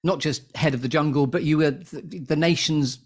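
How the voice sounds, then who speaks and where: A man's voice: on the high side, steady tone, normal speed. Playful, effusive male podcast host. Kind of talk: interview with a scripted-sounding show intro.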